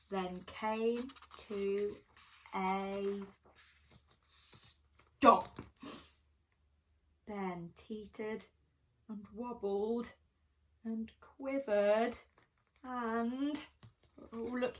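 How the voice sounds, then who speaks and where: A woman storyteller with a bright, fairly high voice reads a children's picture book. She is expressive and takes her time, leaving dramatic pauses and chatting to young listeners. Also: slight background noise, rough recording. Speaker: primary school storyteller.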